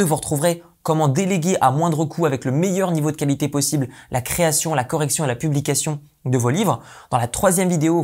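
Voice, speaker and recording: A man in his thirties giving a brisk, explanatory tutorial to camera, seated indoors in a dim room at home. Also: a little high, darkish tone. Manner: brisk, explanatory, repeats "et donc de ce fait". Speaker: online business coach.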